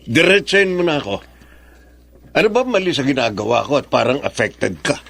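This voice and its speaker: Irritable widowed dad, middle-aged, medium pitch, defensive, challenging, radio drama. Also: male voice, animated, brisk, gravelly, a bit bright. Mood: irritated. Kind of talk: Filipino radio drama acting.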